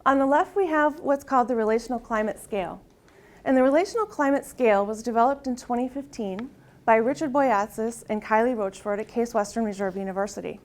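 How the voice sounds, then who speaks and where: Soft-spoken woman presenting research. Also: fairly high pitch, fairly quick. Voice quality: soft-spoken, tries to project. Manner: uses frequent rhetorical "right?" tags. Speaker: conference presenter. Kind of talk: academic presentation with audience exercise.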